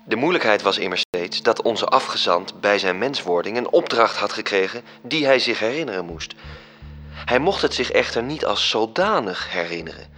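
A man's voice: lowish voice, animated, normal speed, pushed and bright. A man playing a composed, solemn storyteller in a literary radio drama, narrating. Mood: explanatory. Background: music.

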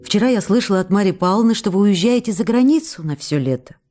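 Radio drama: woman as affectionate, restless actress reading letters; warm dark timbre, emotive and coquettish, music underneath. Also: mid-range voice, animated, fast talker, natural voice. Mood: hurt, pleading. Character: affectionate, restless actress writing letters to her beloved writer. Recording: radio broadcast with music behind.

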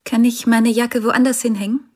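A woman's voice: fairly high pitch, little pitch movement, normal speed, a little mellow, natural voice. An actress playing a polite, tentative young woman in a naturalistic radio drama. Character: polite grown sister returning after long absence.

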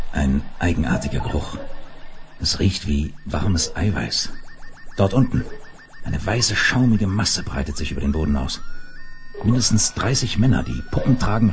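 An actor playing a sober, commanding space leader who tells his own adventure in a radio play, measured and authoritative. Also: low-pitched. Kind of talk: 1970s German radio play.

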